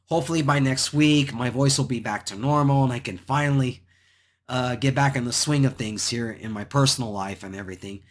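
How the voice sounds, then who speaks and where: A man with a hoarse voice hosting his own channel, rambling through his football game picks with many repeats and restarts. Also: mid-range voice, a little fast. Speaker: sports picks channel host. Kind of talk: solo football predictions talk.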